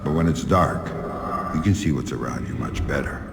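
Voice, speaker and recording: An actor voicing a hardened ex-agent, curt and guarded with adults and soft with a child. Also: male voice, rumbling low, moderate pace, gravelly, echoey room, noisy background. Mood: gentle. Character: hardened male ex-agent, guarded, gentle father. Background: music.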